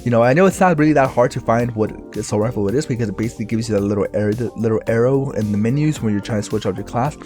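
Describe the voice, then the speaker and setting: A man with a raspy, recovering voice, giving casual gameplay commentary for his own video in a rambling, laid-back way with lots of fillers. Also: medium pitch, rapid-fire, few pauses, a little mellow, faint music behind. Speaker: gaming YouTuber.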